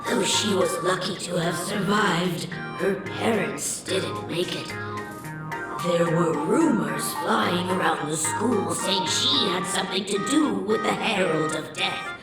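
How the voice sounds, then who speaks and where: A woman's voice: lowish voice, takes it slowly. An actress playing a sympathetic, gossipy woman in a video game, telling what she knows earnestly. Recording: echoey, distant, loud music behind.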